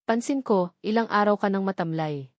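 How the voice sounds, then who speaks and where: A woman's voice: medium pitch, normal speed, no room sound, studio quality, close to an everyday voice. A woman with a Filipino accent voicing a remorseful, devoted husband in a scripted audio drama, in an earnest, pleading manner. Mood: concerned.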